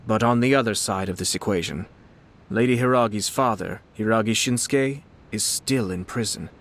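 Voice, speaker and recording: Smooth young noble guy voiced by a man, low-ish voice, polite and measured, kinda shrewd. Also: close to an everyday voice. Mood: cautioning. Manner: measured, polite, gently probing. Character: composed young noble commissioner, courteous and shrewd. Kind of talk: game dialogue voice acting.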